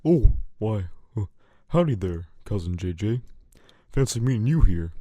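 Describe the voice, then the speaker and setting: A man acts a folksy cartoon farm stallion with a dark, mellow tone. His drawl is hesitant, recorded dry and close. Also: lowish voice, sing-song, unhurried, no room sound. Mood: folksy surprise. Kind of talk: cartoon-style audio drama.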